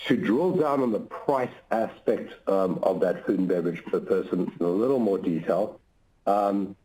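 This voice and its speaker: A man speaking as a chief financial officer on an earnings call, measured and methodical, laying out points one by one. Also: low-pitched, dark tone, rough recording. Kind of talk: corporate earnings call explanation.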